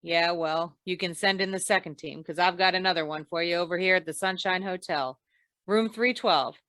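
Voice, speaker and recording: A woman's voice: fairly low pitch, moderate pace, fairly bright tone, no echo, close to an everyday voice. A woman playing a hard-boiled, wisecracking insurance investigator in an old-time radio noir, with a dry, clipped delivery. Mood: brisk.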